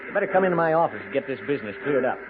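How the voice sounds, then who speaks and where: A man's voice: mid-range voice, lively intonation, rapid-fire, close to an everyday voice. A man playing a fussy, indignant frontier station master in a 1950s radio western, complaining in an agitated way. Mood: irritated. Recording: noisy, tinny 1950s radio broadcast.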